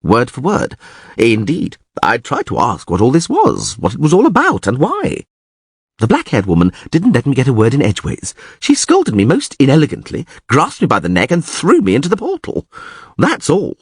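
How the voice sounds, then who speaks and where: A male reader plays a vain fantasy bard, pitched high and swooping widely. He is theatrical and excitable, full of exclamation, as in a storybook told aloud. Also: moderate pace, slightly rough, no echo, clean studio sound, full character voice. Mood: indignant recounting.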